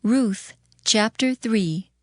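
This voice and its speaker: A woman reading as narrator of a dramatized audio Bible, in a formal, measured way. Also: on the high side, a little mellow. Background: background music.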